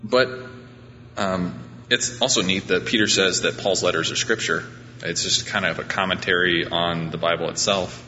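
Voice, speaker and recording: A man with a deep voice preaching an informal, conversational sermon as a pastor, with a self-deprecating manner. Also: fairly even intonation, moderate pace, bright, forceful tone. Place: large reverberant church room during a service. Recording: reverberant hall, muffled, background hum.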